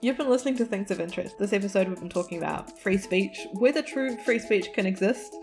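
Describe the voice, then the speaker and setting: A woman's voice: mid-range voice, quick pace, music in the background. A young woman, co-hosting a casual podcast, chatty with lots of fillers and laughs. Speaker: podcast co-host.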